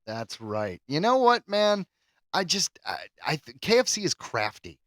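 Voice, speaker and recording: Animated man chatting on a podcast. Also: on the high side, unhurried, no echo, studio quality. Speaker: podcast co-host. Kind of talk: casual chat.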